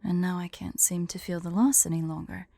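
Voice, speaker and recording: A woman voicing a haughty, vain, teasing female dragon who is secretly fond, in an intimate, breathy, imperious ASMR roleplay style. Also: fairly low pitch, fairly even intonation, warm tone, no room sound. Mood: imperious, irritated. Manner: slow, imperious, playful, breathy near-whispers at times.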